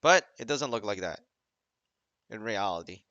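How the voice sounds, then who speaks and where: A man's voice: lowish voice, expressive, normal speed, pushed and bright, no room sound, muffled recording. A man giving casual, off-the-cuff commentary over a video game.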